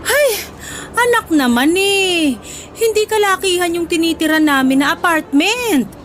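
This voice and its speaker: A woman's voice: very high voice, a bit bright, heavily acted. A woman acting a middle-aged mother in a Tagalog radio drama, fondly scolding her grown son with sighing interjections. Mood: dismayed, pleading. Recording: music bed under the voice.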